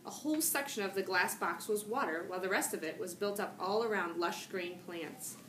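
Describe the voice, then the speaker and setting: A woman reading a children's novel aloud in an animated, emphatic storytelling manner. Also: mid-range voice. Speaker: storybook reader, teacher or school staff. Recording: noticeable background room noise.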